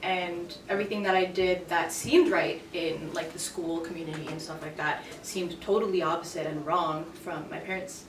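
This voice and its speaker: A woman's voice: on the low side, fairly even intonation, moderate pace, hardly any pauses. A young woman in a casual group discussion, talking hesitantly with many fillers. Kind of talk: casual chat. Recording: roomy, from a distance, faint noise.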